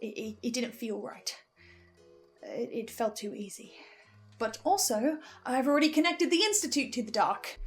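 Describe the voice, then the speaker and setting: A woman giving enthusiastic theorising commentary as a listener, excitable and often interrupting herself. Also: a little high, normal speed. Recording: music behind the voice. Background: music.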